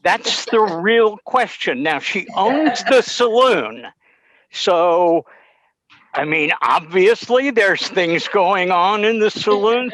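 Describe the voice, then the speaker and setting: A man with a fairly high voice chats informally on a video call. He talks slowly and muses as he goes. The sound is dry and a little dull. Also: fairly bright tone, dry recording. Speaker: presenter. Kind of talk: casual chat.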